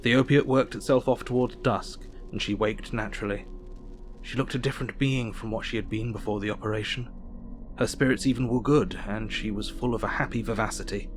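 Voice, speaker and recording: Man playing a measured Victorian doctor. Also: fairly low pitch, a little hiss or hum, lightly acted. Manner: measured, formal, steady. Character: earnest Victorian doctor keeping a night vigil. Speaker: narrator and doctor character. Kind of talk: audio drama diary narration.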